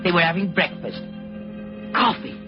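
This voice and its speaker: A man's voice: high-pitched, lively intonation, moderate pace, music in the background. An actor playing a nervous, guilt-ridden young British man in an old radio drama, stammering and hesitating as he speaks. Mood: haunted narration. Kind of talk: 1940s radio drama acting. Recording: muffled old radio recording.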